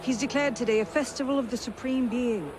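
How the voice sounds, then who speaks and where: A woman's voice: on the high side, a little fast, music in the background, lightly acted, rough recording. A woman playing a shrewd, determined young noblewoman in a dramatic game cutscene. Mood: grave, measured. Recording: loud city crowd and noise.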